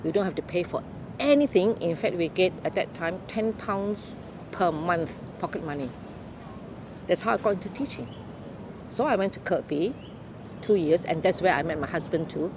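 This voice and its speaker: An older woman from Malaysia telling her life story in an unhurried, explaining way during an interview. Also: lowish voice, background noise. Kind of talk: oral history interview.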